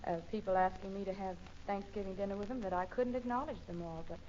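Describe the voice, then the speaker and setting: A woman's voice: medium pitch, fairly even intonation, fast, dark tone, slight background noise. An American woman, a singer on a 1940s radio show, chatting casually on an old broadcast. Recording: muffled 1940s radio recording.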